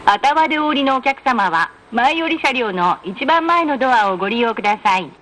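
A woman reading scripted in-car train announcements in a formal, polite, measured way with careful enunciation. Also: fairly high pitch, expressive. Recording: noisy train announcement recording.

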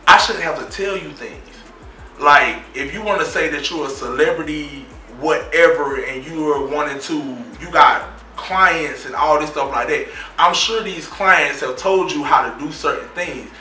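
A man's voice: fairly high pitch, normal speed, pushed and bright, recorded from a distance. A man talking in a reality show confessional, boastful and blunt, with casual profanity. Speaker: reality show cast member, rapper. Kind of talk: confessional interview. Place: studio seat before a screen backdrop. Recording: echoey room, mic set back, music under.

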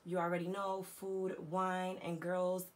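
A young woman talks through her makeup stash in a hoarse, raspy, slightly low voice. Her manner is chatty and full of slang. The recording is from a home beauty room, with faint background hiss. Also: monotone, measured pace. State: hoarse, lost voice. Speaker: beauty vlogger. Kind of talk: casual chatty product talk.

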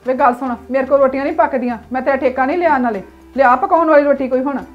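A woman plays a sharp-tongued village mother in a comedy sketch. She scolds and nags her sons in a high, quick voice, with faint music underneath. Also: lo-fi sound, lightly acted. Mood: scolding. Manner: scolding, nagging.

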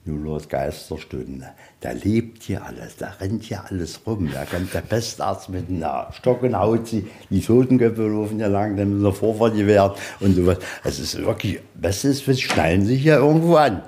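A man with a very deep, mellow voice leads an informal tour. He rambles in a folksy way, tagging his sentences and spinning anecdotes as he goes. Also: lively intonation, normal speed, few pauses. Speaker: museum guide. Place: inside a museum, on location.

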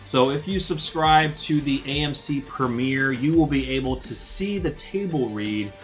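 A man's voice: mid-range voice, a little slow. A man co-hosting a fan news show in casual, unscripted chat. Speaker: co-host of a fan news channel. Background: background music.

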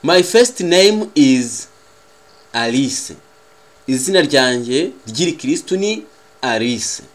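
A man with a Rwandan accent, a native Kinyarwanda speaker, teaching a language lesson in a deliberate, carefully enunciated way as he repeats phrases. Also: medium pitch, expressive. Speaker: English teacher.

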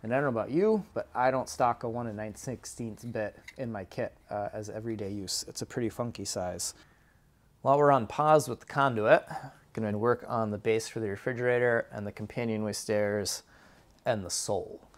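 A man in his thirties, a boatbuilder, explaining his work in a casual, relaxed way with frequent "uh" fillers, recorded in an indoor workshop. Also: fairly low pitch, moderate pace. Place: indoor boat shop.

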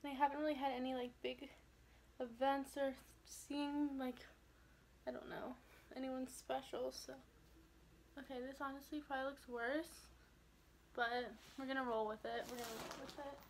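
High-voiced young woman vlogging, chatty. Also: level tone, normal speed, pauses often, slight background noise, low-quality recording. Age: young adult. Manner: chatty, rambling, trailing off into asides. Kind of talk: casual chat to camera, showing shopping. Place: small bedroom, indoors.

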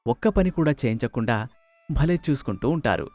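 A man's voice: medium pitch, animated, brisk, darkish tone. A man narrating a scripted moral story as a storyteller, recorded close on the mic. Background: music.

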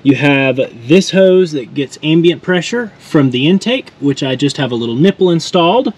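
Relaxed man talking through his car build. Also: fairly high pitch, moderate pace, a little hiss or hum. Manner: relaxed, self-deprecating, explains while working. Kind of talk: casual how-to walkthrough. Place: garage and inside a truck.

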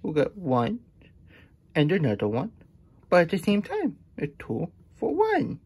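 Childlike, good-natured hedgehog puppet storyteller, played by a man: husky, unhurried, pitch swooping up and down. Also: wildly swooping pitch, a little mellow, full character voice. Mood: pleased, musing. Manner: speaks of self in third person, halting, folksy. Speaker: host reading a picture book aloud. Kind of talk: puppet storytime read-aloud with chatty asides.

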